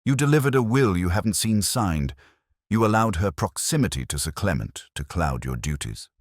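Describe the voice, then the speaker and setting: Guy doing a very deep victorian detective, stern n logical, formal, voice moves around a lot, dry close sound. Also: very animated, no echo. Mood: measured, stern. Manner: measured, formal, certain.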